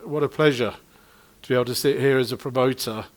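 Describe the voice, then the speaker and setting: A man's voice: mid-range voice, fairly even intonation, fast talker. A middle-aged man with a Manchester accent, an event promoter giving a chatty, self-deprecating address at a press conference. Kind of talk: informal speech to the press.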